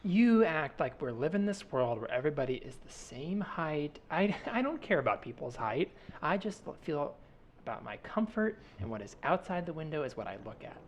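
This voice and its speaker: A man's voice: a little high, very animated, normal speed, lo-fi sound. A defensive wife, played by a man in an improvised comedy sketch, evasive and full of feigned innocence. The podcast recording has faint noise behind it. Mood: evasive, nonchalant.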